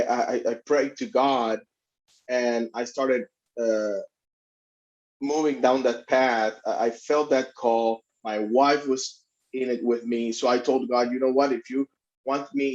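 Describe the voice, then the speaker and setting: A middle-aged man from Rico, telling his story as an interview guest in a halting way with many restarts. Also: mid-range voice. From Puerto Rico. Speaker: immigration attorney and guest. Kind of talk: conversational interview. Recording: dull lo-fi sound.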